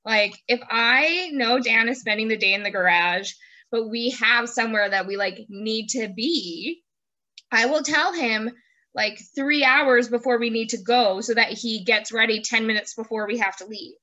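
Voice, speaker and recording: A woman's voice: fairly high pitch, normal speed, sharp tone, highs cut off. A woman hosting a casual chat, speaking in a playful, joking way peppered with "like". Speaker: host, interviewer. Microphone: video call.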